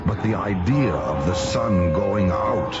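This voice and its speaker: An actor playing a grave, ominous narrator, reading dramatic scripted science fiction radio narration. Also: male voice, low-pitched, lively intonation, slow pace. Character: grave, ominous male narrator of space adventures. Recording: muffled radio recording under loud music.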